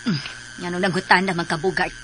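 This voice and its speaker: A woman's voice: fairly low pitch, fairly even intonation, a little fast, pushed and bright. An actress playing a distracted, bossy lady of the house with a Filipino accent in a radio drama. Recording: noisy radio drama recording.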